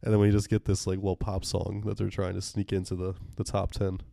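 A man's voice: low voice, completely flat delivery, brisk, gravelly, mellow, dry recording, studio quality. A man in his twenties co-hosting a podcast, joking in a mock-serious way with many fillers. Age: 20s. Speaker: podcast co-host. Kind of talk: casual film review chat.

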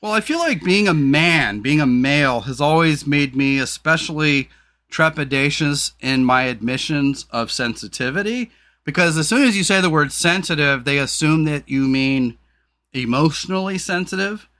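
A man's voice: expressive, moderate pace, sharp tone. A man hosting a casual podcast conversation, talking frankly and swearing freely, rambling with lots of fillers. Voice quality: deep voice. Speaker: podcast host.

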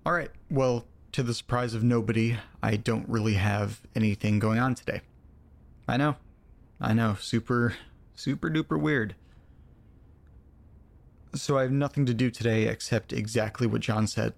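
An actor playing a lonely, awkward, self-deprecating man with a gravelly voice, confiding haltingly in an audio drama monologue. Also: mid-range voice, close to an everyday voice. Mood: sheepish, wistful.

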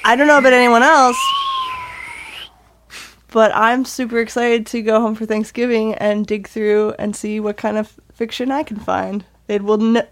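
A woman's voice: fairly high pitch, fairly even intonation, normal speed, a bit bright. A woman co-hosting a podcast, chatting casually with dry teasing remarks. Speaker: podcast co-host. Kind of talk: casual chat.

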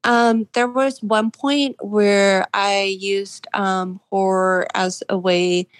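A young woman of about twenty speaks as an interviewed author. Her voice sits at an average pitch and is recorded quite dry. She answers conversationally and thoughtfully, pausing often as she goes. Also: flat delivery, clear, resonant tone, no room sound.

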